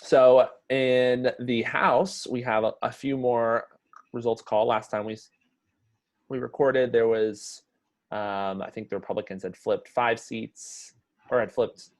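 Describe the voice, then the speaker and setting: A man's voice: medium pitch, fairly even intonation, measured pace. A man in his twenties with an American accent, co-hosting and chatting casually about news on a video call. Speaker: podcast co-host. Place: indoor room at home, video call.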